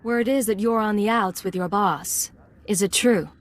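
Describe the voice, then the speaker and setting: A woman's voice: medium pitch, moderate pace, natural voice. A woman playing a cool, confident secret agent posing as a mercenary in a video game, composed and guarded. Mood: businesslike.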